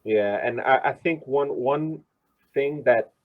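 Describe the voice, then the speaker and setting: A man's voice: mid-range voice, slow pace, phone-quality sound. A man discussing soccer on a casual podcast, speaking emphatically and often repeating words as he goes. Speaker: podcast host and soccer supporter.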